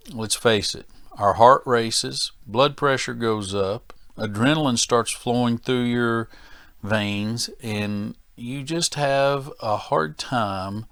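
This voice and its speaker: A man teaching as a safety training instructor in a plain-spoken, deliberate, folksy manner. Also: mid-range voice, fairly bright tone, no room sound. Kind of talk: instructional presentation to workers.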